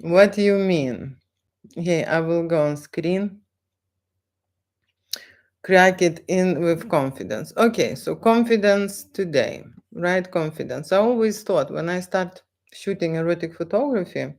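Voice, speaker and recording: A woman with a Lithuanian accent chats unscripted on a live stream, haltingly, repeating phrases and often checking in with short tag questions. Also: on the low side, lively intonation, a little mellow. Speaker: live stream host, photographer. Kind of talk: unscripted live stream chat, answering viewers.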